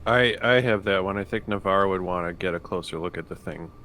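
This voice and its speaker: A man's voice: sits low, fairly quick, bright, forceful tone. A man playing a tabletop roleplaying game, talking casually and hesitantly as he thinks aloud.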